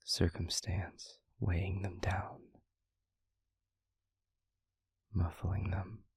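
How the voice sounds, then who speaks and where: A man acts out a gentle, regretful male demon in an intimate audio roleplay. His low voice drops into a breathy, husky whisper. He speaks very slowly and reflectively, pausing between phrases. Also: little pitch movement, dry recording, exaggerated voice. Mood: tender, earnest. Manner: slow, halting, reflective delivery. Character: introspective, tender male demon, once arrogant, now gently regretful.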